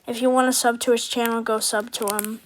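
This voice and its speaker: A woman with a fairly high voice talks casually, like a video uploader giving a shout-out. There is faint background noise with some clicking on the recording. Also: flat delivery, a little fast.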